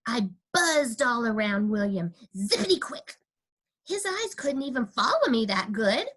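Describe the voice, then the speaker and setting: Woman as cheeky small girl, storybook narrator, high voice, unhurried pace, childish grammar, emphatic. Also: big pitch swings, a bit bright, husky, heavily acted. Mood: scheming. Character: cheeky, stubborn kindergarten girl telling her own story. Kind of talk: first-person children's storybook reading.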